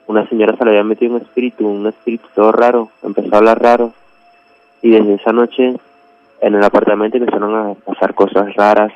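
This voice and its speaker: A man calling a radio show, telling a personal story in a halting, colloquial way. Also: on the low side, no room sound. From Venezuela. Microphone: telephone. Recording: dull, dry phone call to radio.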